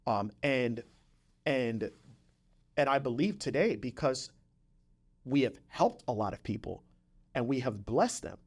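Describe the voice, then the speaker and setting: A man's voice: mid-range voice, expressive, measured pace, long pauses. A man hosting a podcast in a conversational motivational style, repeating phrases for emphasis and often checking in with the listener. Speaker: podcast host.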